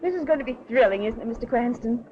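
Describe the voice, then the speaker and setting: A woman's voice: on the high side, expressive, fast, noisy background, lightly acted. A woman playing a plucky, eager young newspaper reporter who craves thrills, in 1930s film style. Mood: eager, pert. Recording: dull 1930s film soundtrack with background bustle.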